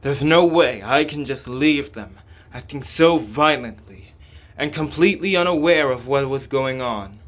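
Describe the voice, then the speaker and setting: Male game surgeon, read by a man, calm and devoted to humans, slow deliberate delivery, explanatory. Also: medium pitch. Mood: calm, resolute. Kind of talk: scripted game dialogue reading.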